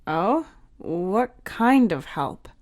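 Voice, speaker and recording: A woman's voice: lowish voice, very animated, drawn-out words, darkish tone, full character voice. A woman voicing a shy, sulky boy in a storybook reading, hesitant and defensive in manner. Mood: shy curiosity. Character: shy, sulky boy, secretly curious and easily embarrassed.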